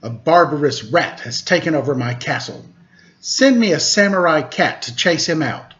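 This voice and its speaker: A man's voice: fairly high pitch, lively intonation, moderate pace, fairly bright tone. A man voicing a complaining, exclaiming feudal Japanese lord in a storybook tale. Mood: complaining, pleading. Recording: dull lo-fi recording.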